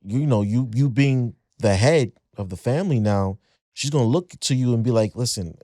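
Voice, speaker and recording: A man's voice: medium pitch, moderate pace, warm tone, no echo. A man hosting a podcast in a studio, speaking supportively with hesitant repeated words. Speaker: main podcast host. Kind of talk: casual interview chat.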